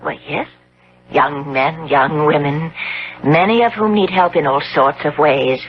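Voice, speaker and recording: A woman's voice: sits low, measured pace. An earnest, formal charity lady in an old radio drama. She is courteous with an uneasy edge. The sound is muffled, with faint noise underneath. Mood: polite. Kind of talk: 1970s radio drama acting. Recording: muffled, noisy 1970s radio recording.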